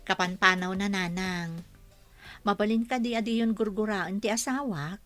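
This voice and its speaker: A woman's voice: medium pitch, moderate pace, fairly bright tone, faint music behind, lightly acted. A woman playing a patient, devoted and hopeful Filipino wife in a radio serial, earnest and pleading. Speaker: radio drama actor.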